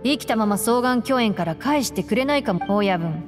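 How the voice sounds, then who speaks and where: A woman voicing a gentle, perceptive young right-hand companion in a video game, calm and reflective. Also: on the high side, normal speed, faint music behind, lightly acted. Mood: cautioning.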